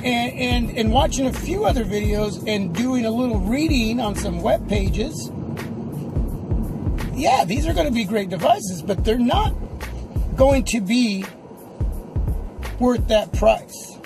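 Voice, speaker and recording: High-pitched man chatting while driving. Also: expressive, takes it slowly, pauses often, over music. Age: middle-aged. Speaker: tech vlogger. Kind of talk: casual unscripted vlog chat. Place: inside a moving car. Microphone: camera or phone on the dashboard.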